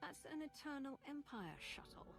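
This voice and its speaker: An actress playing a composed, thoughtful sci-fi heroine in a video game, with a measured and earnest delivery. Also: female voice, high-pitched, very animated, vocal fry, heavily acted. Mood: focused. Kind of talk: video game cutscene acting. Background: music.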